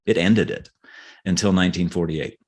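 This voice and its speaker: A man's voice: low voice, steady tone, moderate pace, darkish tone. A man talking as an author in a conversational podcast interview, earnest and explanatory, often restarting his phrases.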